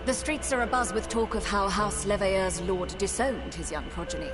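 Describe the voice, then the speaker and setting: An actress playing a stern, formal female immigration official in a video game, measured and haughty. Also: mid-range voice, over loud music, lightly acted. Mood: stern, cautioning. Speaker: voice actor in a video game cutscene. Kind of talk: scripted game dialogue.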